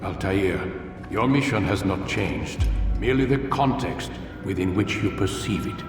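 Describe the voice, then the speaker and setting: An actor playing a calm, commanding old master of an assassin brotherhood in a video game, speaking in measured, formal, cryptic phrases. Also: male voice, low-pitched. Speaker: mentor and leader. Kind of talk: dramatic video game voice acting. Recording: echoing large hall, distant, music behind.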